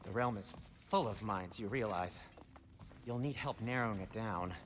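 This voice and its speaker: An actor playing a fussy, eager, fastidious dwarf craftsman in a video game, chatty and theatrical in manner. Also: lowish voice, a bit bright, lightly acted. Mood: chatty, helpful. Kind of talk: video game character acting.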